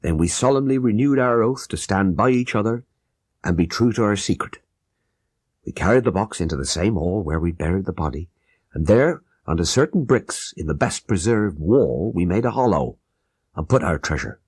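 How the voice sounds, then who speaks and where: A man's voice: low voice, expressive, moderate pace, darkish tone, husky, no room sound, clean studio sound. A man playing an old-time ex-soldier who earnestly and vividly recounts his crime in an audiobook. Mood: earnest confession. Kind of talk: audiobook character narration.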